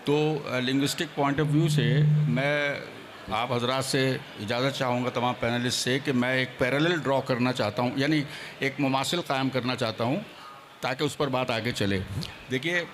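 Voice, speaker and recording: A man on a seminar panel speaking formally and deliberately, like a lecturer, dropping in English terms. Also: mid-range voice, steady tone, fairly bright tone, a little hiss or hum. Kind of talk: formal panel discussion talk.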